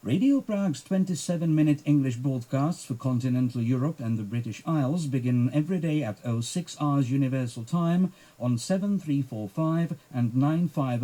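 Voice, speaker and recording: A male radio announcer with a mellow, relaxed tone and medium pitch reads station schedules. His delivery is formal and measured, each item carefully enunciated. It sounds like a 1990s shortwave archive recording.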